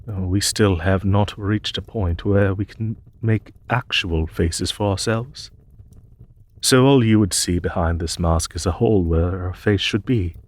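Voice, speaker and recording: A man playing an ancient, courteous masked demon emperor in an audio roleplay, telling his tale in a formal, measured, gentle way. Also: sits low. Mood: courteous, gently amused. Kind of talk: scripted audio roleplay monologue.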